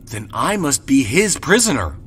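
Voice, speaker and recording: A man's voice: medium pitch, big pitch swings, moderate pace, fairly bright tone, breathy edge, faint music behind, heavily acted. A frightened, stammering young man. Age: young adult. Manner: anxious, stammering inner monologue. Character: frightened young man trapped in a vampire's mansion.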